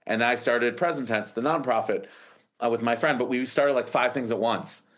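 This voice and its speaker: A man's voice: mid-range voice, normal speed, a bit bright. Rambling American man in his forties. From United States. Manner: rambling, many restarts and fillers. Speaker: startup founder, guest. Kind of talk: casual interview storytelling.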